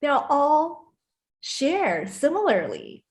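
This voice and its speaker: A woman's voice: high voice, wildly swooping pitch, takes it slowly. A woman from Taiwan hosting a casual spiritual conversation, effusive and talking in short bursts with frequent check-ins. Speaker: host of a spiritual conversation series.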